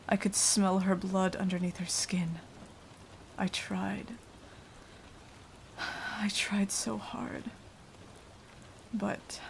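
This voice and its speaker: A woman acts out a remorseful female vampire in an ASMR roleplay. Her lowish voice moves slowly and haltingly, often sinking into a hushed whisper. Light rain falls behind her. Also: vocal fry, a little hiss or hum. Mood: anguished, ashamed.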